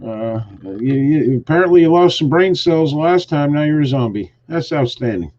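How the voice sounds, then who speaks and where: Man, host, casual chat, joking, teasing, rambling, mellow tone, lo-fi stream audio. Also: a little high, expressive, moderate pace.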